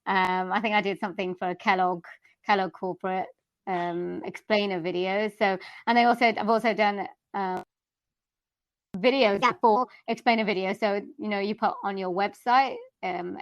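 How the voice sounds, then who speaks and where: A woman's voice: medium pitch, steady tone, moderate pace, no echo, dull audio. A woman with a Welsh accent, a voiceover artist guest on a podcast, explaining chattily with many "um"s and "like"s. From South Wales, UK. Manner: chatty, filler-laden explaining. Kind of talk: casual interview chat.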